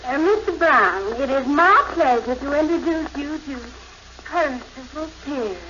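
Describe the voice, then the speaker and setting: A high-voiced young society girl. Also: lively intonation, measured pace, bright, forceful tone, full character voice. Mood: formal. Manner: genteel, gushing, polite. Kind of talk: 1950s radio sitcom acting. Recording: dull vintage radio, faint noise.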